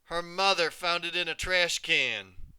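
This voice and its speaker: A man voicing a mean girl bully with a deep, growly voice who taunts and sneers. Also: a little slow, bright, forceful tone, clean tone, no room sound. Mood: taunting.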